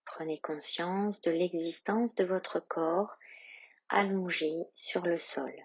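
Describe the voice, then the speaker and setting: A woman yoga instructor leading a guided meditation in a calm, soothing, soft and breathy voice with long, measured pauses. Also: on the low side, no room sound, highs cut off.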